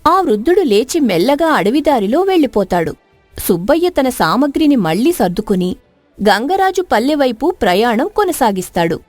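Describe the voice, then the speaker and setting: A woman's voice: fairly high pitch, very animated, normal speed, no echo. A woman storyteller reading a scripted moral story as narrator. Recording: dry studio narration over music.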